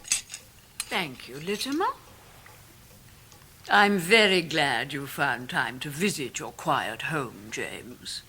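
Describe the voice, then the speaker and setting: A woman's voice: low voice, expressive, slow, long pauses, sharp tone, slight background noise, heavily acted. An actress playing a proud, stately elderly upper-class English lady and doting mother in a radio drama, formal and imperious. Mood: proud, firm. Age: older. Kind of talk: 1990s radio drama acting.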